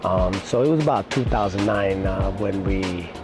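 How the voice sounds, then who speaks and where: A middle-aged man, talking casually and haltingly in an interview as a martial arts instructor, with many pauses. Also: deep voice, over music. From Puerto Rico-born, raised in New York City.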